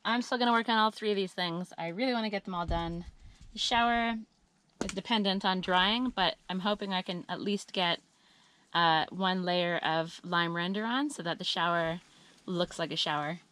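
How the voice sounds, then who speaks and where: A woman giving a chatty, upbeat monthly update on her homestead work, her voice a little scratchy and hoarse, with frequent ums and uhs. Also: fairly low pitch, normal speed, a bit bright. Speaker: homesteading vlogger. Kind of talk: casual monthly update vlog.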